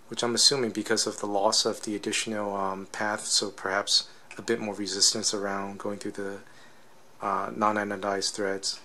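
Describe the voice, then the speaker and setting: A man's voice: fairly low pitch, creaky, bright, forceful tone. A man giving a casual, unscripted technical demonstration as a product reviewer, explaining step by step and often checking in with a questioning tag.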